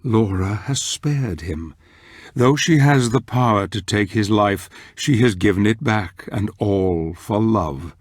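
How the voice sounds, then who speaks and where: Casting: grave gothic game narrator, low-pitched, very unhurried, ruminative, dry close sound. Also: male voice, no echo. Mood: brooding. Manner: measured, theatrical, ruminative. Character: grave, wistful storyteller of a dark gothic tale. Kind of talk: scripted dramatic narration.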